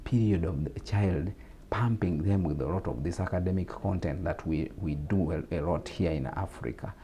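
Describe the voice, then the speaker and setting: A man's voice: low voice, normal speed, warm tone. A man from Uganda with a Ugandan English accent, a guest on a talk show, explaining steadily with repetitions and fillers.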